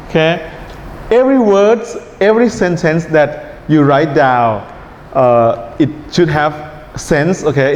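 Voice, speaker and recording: A man with a Thai accent teaching an IELTS writing class in a relaxed lecture style, often checking in with his students. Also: fairly high pitch. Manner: relaxed, checks in with "right?" and "okay?". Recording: echoey hall, dull sound, faint hiss.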